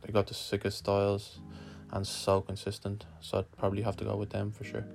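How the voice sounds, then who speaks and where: Low gravelly young man from Lithuania. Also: monotone, a little fast, darkish tone, faint music behind. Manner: laid-back, swearing, slangy. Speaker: guest skater answering a questionnaire. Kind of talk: casual spoken answers.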